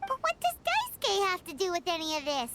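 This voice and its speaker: Brief: a chirpy little game fairy, very high and wildly bouncy, over loud score. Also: female voice, huge pitch swings, fairly quick, full character voice. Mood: curious. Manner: squeaky, inquisitive, chirpy. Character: tiny female floating fairy companion, curious. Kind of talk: game cutscene voice acting. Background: game music.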